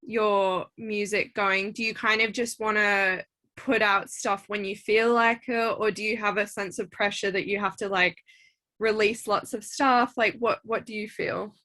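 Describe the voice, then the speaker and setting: Bright-voiced chatty woman interviewer. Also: medium pitch, steady tone, measured pace. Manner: chatty, many "like"s, eager and encouraging. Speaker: interviewer, show host. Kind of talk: casual interview chat.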